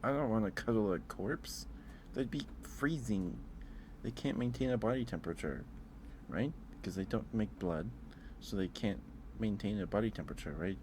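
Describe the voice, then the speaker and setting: A man's voice: on the low side, normal speed, darkish tone, slight background noise. A man chatting casually with livestream viewers as host, musing aloud in an informal, hesitant way.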